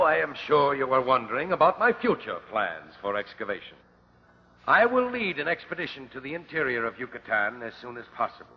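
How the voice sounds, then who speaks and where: Pompous, irritable professor, muffled old radio. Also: male voice, medium pitch. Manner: pompous, curt, dismissive. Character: pompous, irritable male archaeology professor, imperious and impatient. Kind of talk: old-time radio drama acting.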